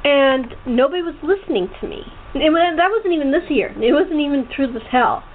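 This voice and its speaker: A woman hosting a casual interview chat, talking in a halting, rambling way with repeated words. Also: high-pitched, lively intonation. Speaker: radio show host and voice actor. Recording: tinny phone line with clear noise.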